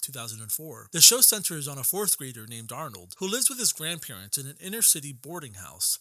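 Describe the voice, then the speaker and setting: Casting: young male video essayist, very bright forceful tone, animated intonation, scripted documentary read. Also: fairly high pitch, no room sound. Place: close, dry voice-over recording.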